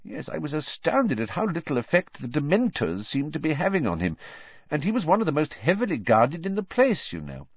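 A man's voice: on the high side, wildly swooping pitch, brisk, husky, no echo, muffled recording, pitched above the performer's own voice, full character voice. A man reading an audiobook and voicing a fussy, self-important middle-aged wizard minister who talks in a pompous, rambling way. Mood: evasive. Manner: pompous, anecdotal, then evasive.

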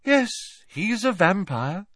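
Dreamy young witch played by a man, high pitch, serene and matter-of-fact, storybook. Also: sing-song, moderate pace, no echo, studio quality.

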